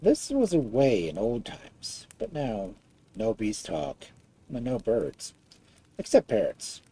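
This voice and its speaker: A storyteller reading a fairy tale aloud in an expressive style. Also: mid-range voice, takes it slowly, slightly rough.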